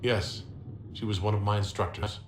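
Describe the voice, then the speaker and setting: An actor playing a sober, sincere young male mage hero in a video game, calm and plain-spoken. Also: fairly low pitch, normal speed, natural voice. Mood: sober, inquiring. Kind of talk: video game dialogue acting. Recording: roomy echo with clear background noise.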